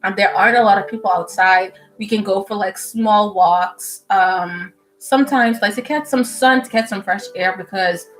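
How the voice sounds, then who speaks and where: A young woman from the Bahamas, a medical student, chatting casually with viewers on a livestream at home, animated and full of fillers and laughs. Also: medium pitch, moderate pace, few pauses, rough recording. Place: indoors at home. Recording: soft music playing behind.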